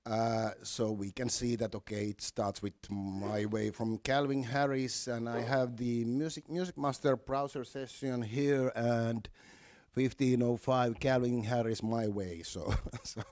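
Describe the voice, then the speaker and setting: A man giving a live software walkthrough step by step, halting and repeating words now and then. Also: medium pitch, fairly even intonation, no echo, studio quality. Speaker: software demonstrator.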